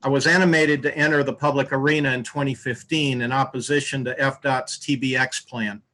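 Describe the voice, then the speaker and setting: A man's voice: mid-range voice, level tone, fairly quick, pushed and bright, low-quality recording. A man in his sixties giving a polished, formally phrased candidate introduction at a debate.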